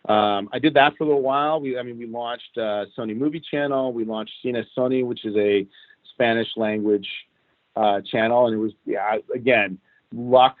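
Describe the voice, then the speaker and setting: A man from Cuba, a guest in an interview, telling how his career went in an animated, casual way. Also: mid-range voice, normal speed, no room sound. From Cuban. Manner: animated, recounts events at length. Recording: tinny phone-like line, dry.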